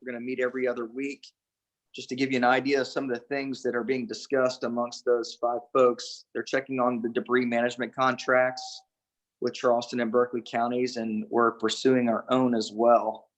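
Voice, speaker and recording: A man giving a matter-of-fact, measured briefing as a city official on a conference call. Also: mid-range voice, flat delivery, low-quality recording. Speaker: city emergency management official. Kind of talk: spoken briefing to a council meeting.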